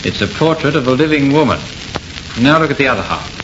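A male actor as a grave, deliberate investigator in an old radio horror play. His medium-pitched voice is measured and theatrical. The recording is dull and noisy. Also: big pitch swings, natural voice. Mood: grave, revealing.

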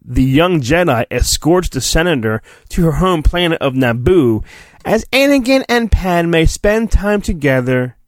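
A man giving a mock-dramatic storybook reading, theatrical in delivery, dropping into goofy, deadpan, sarcastic asides. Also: fairly high pitch, measured pace, no room sound, clean studio sound. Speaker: podcast host.

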